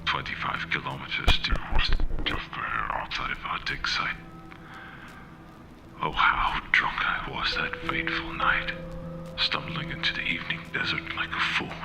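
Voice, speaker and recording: A man playing a doomed, remorseful scholar in a horror audio drama, giving a slow, grave, halting confession in a gravelly half-whisper. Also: extremely low pitch, full character voice, poor audio. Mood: haunted regret. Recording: music playing under the voice. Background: loud music.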